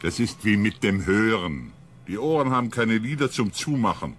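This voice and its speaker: A man playing a calm, patient ship psychologist who explains things emphatically in a science fiction radio drama. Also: fairly low pitch, normal speed, soft background music. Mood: reasoning.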